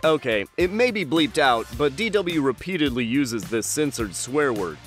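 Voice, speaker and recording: A man narrating a scripted countdown at a middling pitch, dropping wry asides between entries. Faint music sits under his voice. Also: expressive, normal speed.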